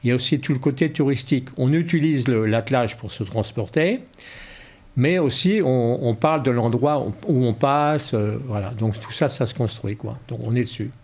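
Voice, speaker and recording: A man's voice: mid-range voice, normal speed, a little mellow. A man being interviewed in a casual way, repeating words as he searches for his phrasing. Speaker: interview guest, horse-drawn carriage driver.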